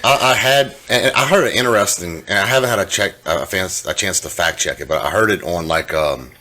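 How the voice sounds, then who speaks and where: A man co-hosting a casual podcast chat, with a soothing voice and a halting way of talking that repeats words. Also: deep voice, sharp tone. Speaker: podcast co-host.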